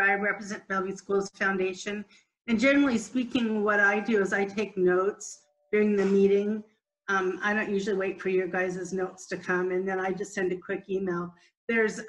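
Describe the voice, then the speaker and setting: A woman's voice: mid-range voice, poor audio. A woman giving an informal, unscripted update during a work conference call. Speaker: nonprofit representative. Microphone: remote conference call.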